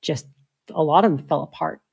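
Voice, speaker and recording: A woman, a software engineer, talking through her ideas in a casual interview with lots of filler likes. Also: deep voice, fairly quick, vocal fry, slightly rough. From United States. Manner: thoughtful, many filler likes.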